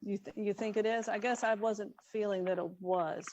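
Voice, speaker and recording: A woman's voice: medium pitch, fairly even intonation, normal speed, darkish tone. A woman chairing a public meeting, talking informally and tentatively as she looks for agreement. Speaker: commission chair. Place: online public meeting call. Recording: faintly noisy online meeting audio.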